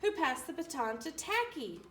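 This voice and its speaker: A woman reading a children's storybook aloud with an animated, deliberate, singsong delivery. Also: sits high. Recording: roomy, distant mic, faint room noise.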